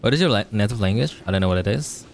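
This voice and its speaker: Young male streamer, non-native English accent, loose rambling chat, very lively pitch. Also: very fast, creaky, a little hiss or hum. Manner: chatty, rambling, reads and answers chat. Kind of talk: casual chat while playing a game.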